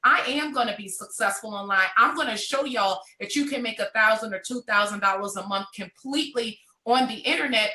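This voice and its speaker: This is a woman around thirty telling her entrepreneur story with animated, motivational drive. Her voice sits a little high and rings bright and forceful. The sound carries a roomy echo. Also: fairly quick, few pauses. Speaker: network marketing entrepreneur. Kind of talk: motivational live conversation.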